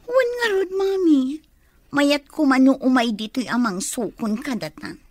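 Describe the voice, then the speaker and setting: An actress playing an impatient, jealous, spoiled daughter in an Ilocano radio comedy drama, whiny and complaining. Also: female voice, sits high, animated, a little slow, no echo. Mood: restless, annoyed.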